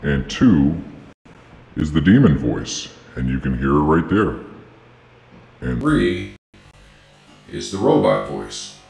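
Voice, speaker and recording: A man demonstrating demon and robot voices through a pitch-shifting voice changer in a software tutorial. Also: sing-song, slow pace, creaky, pauses often, soft background music, full character voice. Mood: demonstrative. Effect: deep pitch-shifting voice changer.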